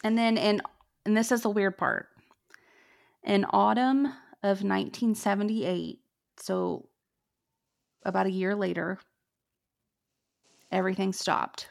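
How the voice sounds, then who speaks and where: A woman hosting a podcast, telling a true ghost story in a chatty way, with many "like"s and "um"s. She has a fairly deep voice. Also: fairly even intonation, takes it slowly, vocal fry, pauses often. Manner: chatty, lots of "like" and "um". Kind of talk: casual storytelling chat.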